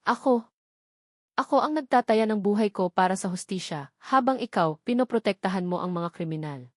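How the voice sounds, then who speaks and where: A woman's voice: mid-range voice, expressive, normal speed, dry recording, studio quality, close to an everyday voice. A woman voicing a proud, indignant policewoman in a romance audio story, confrontational and accusing. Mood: angry, accusing.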